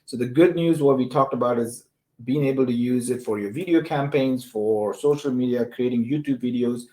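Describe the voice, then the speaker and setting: A man's voice: medium pitch, normal speed, warm tone. A man with a mid-range voice speaks as the host of an informal discussion show. He explains things in a loose, conversational way, often checking that the point has landed. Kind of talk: casual discussion of technology and business use cases.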